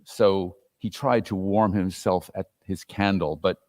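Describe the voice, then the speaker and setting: A man's voice: low-pitched, steady tone, a little slow, darkish tone. A man narrating a classic story as a dramatic reading, with a theatrical, emphatic delivery. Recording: reverberant hall, live reading.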